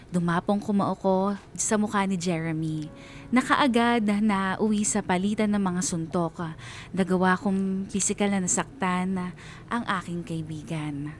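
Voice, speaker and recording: A woman reading a dramatic, scripted first-person story as narrator. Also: measured pace. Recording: clear rumbling background noise.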